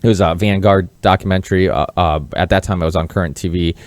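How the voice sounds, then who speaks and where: A man's voice: low-pitched, fairly even intonation, fast, dry recording. A man from Massachusetts hosting a podcast, talking in an earnest, rambling, conversational interview style. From Massachusetts, born in Portugal. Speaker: podcast host.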